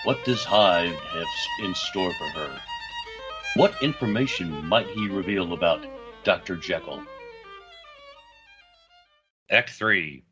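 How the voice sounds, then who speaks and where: A man delivers dramatic radio-play narration. His voice is fairly low and unhurried, theatrical and suspenseful, with violin music clearly behind it.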